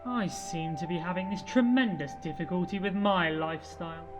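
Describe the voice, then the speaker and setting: A man's voice: sits high, normal speed, music in the background, close to an everyday voice. Bewildered everyman , played by a man, earnest, plaintive and apologetic, lost in space, amateur radio drama. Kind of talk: amateur audio drama acting.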